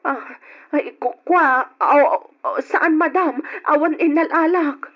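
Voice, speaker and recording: An actress playing a poor young maid in debt, timid and pleading, in an old radio drama. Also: female voice, high-pitched, slow pace. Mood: tearful. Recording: dull old radio recording.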